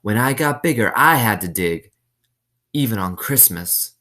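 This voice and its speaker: A man voicing a cold, greedy woman warden in a storybook, unhurried and menacing. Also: lowish voice, lively intonation, sharp tone, voice pushed up. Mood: bitter.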